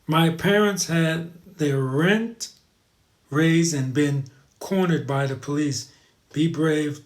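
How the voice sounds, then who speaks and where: A man hosting a casual livestream, chatting informally to viewers and rambling as he repeats his advice for emphasis. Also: on the high side. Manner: informal, addresses viewers as guys. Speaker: live show host. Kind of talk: casual livestream chat, reading viewer comments.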